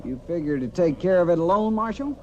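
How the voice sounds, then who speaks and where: An actor playing a stubborn, folksy posse leader with a rural Western American accent in an old radio drama. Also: male voice, high voice, lively intonation, a little fast, warm tone. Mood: defiant. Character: stubborn, belligerent frontier man leading a rough posse. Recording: dull, noisy old radio recording.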